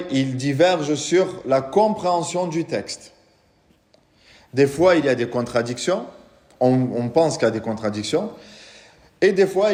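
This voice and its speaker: A man's voice: a little high, darkish tone. A man, an imam, giving a religious lecture to a live audience, explaining patiently and asking the room questions. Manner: explains patiently, asks the audience questions, recites Quranic Arabic. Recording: reverberant hall.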